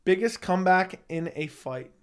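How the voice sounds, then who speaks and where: Young guy on a livestream, kinda hoarse, fairly high voice, blunt takes for the chat. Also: animated, normal speed. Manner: opinionated, talks straight to chat. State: slightly hoarse, losing his voice. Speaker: livestream host answering chat. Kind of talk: casual live chat.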